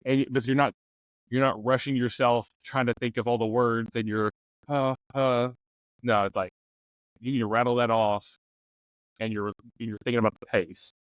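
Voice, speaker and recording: A man's voice: medium pitch, fairly even intonation, moderate pace, clear, resonant tone, dry recording. A man on a talk show, giving game master advice in a casual, conversational way.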